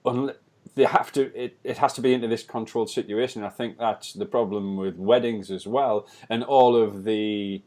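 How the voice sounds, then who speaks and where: Low-ish voiced guy co-hosting, chill chat, says yeah a lot. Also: fairly even intonation, moderate pace, a little mellow. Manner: frequent yeah backchannels. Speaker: podcast co-host. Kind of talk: casual podcast chat.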